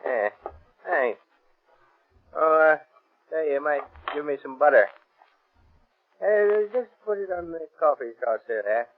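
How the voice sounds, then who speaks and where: An American actor playing a casual, evasive stranger in a 1930s radio drama. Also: male voice, a little high, expressive, unhurried, long pauses. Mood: casual, probing. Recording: tinny 1930s radio recording.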